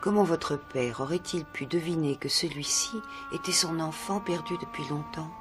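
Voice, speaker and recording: High-voiced gentle swan played by a man. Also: moderate pace, lightly acted. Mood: gently reproving. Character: wise, gentle talking swan adviser. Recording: music behind the voice.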